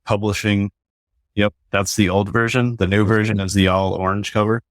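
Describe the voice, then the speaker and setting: A man with a very low, deep voice talks through his ideas in earnest, motivational run-ons. The recording is dry and close, with no room sound. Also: little pitch movement, moderate pace, dry recording. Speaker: guest author. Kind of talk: podcast interview talk.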